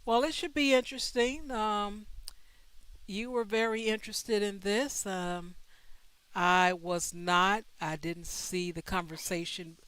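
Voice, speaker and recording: A woman's voice: mid-range voice, steady tone, slow, fairly bright tone, smooth, no room sound. A woman hosting a livestream, talking in an easygoing, conversational way. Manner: easygoing, conversational, many fillers. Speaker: host. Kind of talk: casual livestream chat.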